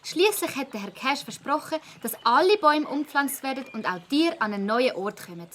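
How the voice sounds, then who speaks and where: Lively, high-voiced eager girl, acted by a woman. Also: brisk, a little hiss or hum. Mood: persuasive. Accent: Swiss. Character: optimistic, determined young girl among adventuring friends. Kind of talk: children's audio drama acting.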